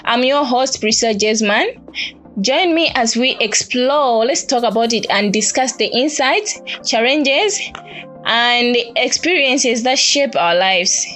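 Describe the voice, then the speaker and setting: A woman with a fairly high voice reads a podcast's opening welcome. Her delivery is even and scripted. Faint music plays underneath. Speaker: podcast host. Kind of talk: scripted show intro.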